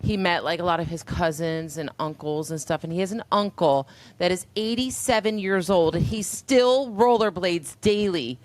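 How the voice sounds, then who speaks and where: A woman in her forties hosts a relaxed podcast chat, her voice sitting a little low for a woman. She is from Florida and tells stories in a chatty, animated way, laughing often as she goes. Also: moderate pace, hardly any pauses, low-quality recording. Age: 40s. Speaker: podcast host. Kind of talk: casual interview chat.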